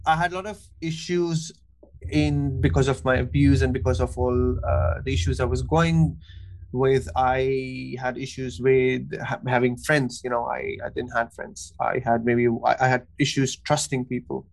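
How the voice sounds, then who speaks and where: A man from Pakistan, raised in Kuwait, a therapist telling his personal story as a podcast guest in a halting, earnest way with many pauses and restarts. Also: mid-range voice, level tone, vocal fry, low-quality recording. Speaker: guest, cognitive behavior therapist.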